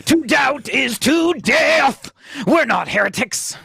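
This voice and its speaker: Woman acting young male cadet, radio play, earnest, gravelly, husky, close and dry. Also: high voice, lively intonation, slow pace, fairly bright tone, no echo, voice pushed up, heavily acted. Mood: loud, indignant.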